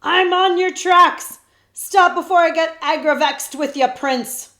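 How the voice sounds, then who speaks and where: A woman voicing a wheezing, skinny male outlaw who is rough and blustering, recorded in an echoey room. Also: very high-pitched, a little slow, voice pushed up, full character voice. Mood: shouting. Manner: gruff, rough-spoken and loud.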